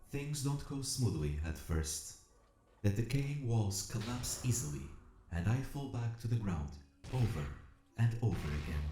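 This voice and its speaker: A man's voice: on the low side, warm tone, reverberant, a little hiss or hum. A man playing an earnest, determined, calculating combat robot, narrating an audio drama in the first person with formal, precise diction. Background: music.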